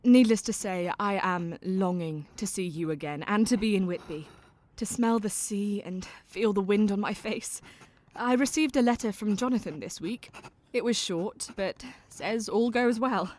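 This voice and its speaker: An actress playing an affectionate young Victorian woman who reads her own letter in a gentle, genteel way. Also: medium pitch, a little slow, close to an everyday voice. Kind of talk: audio drama letter reading.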